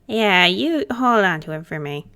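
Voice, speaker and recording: A woman's voice: mid-range voice, animated, a little slow, pushed and bright, clean tone. A woman voicing a giggly, cheeky male spirit with chatty, slangy delivery in an amateur cartoon roleplay. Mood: subdued, wistful. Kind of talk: amateur roleplay read-aloud of a cartoon character.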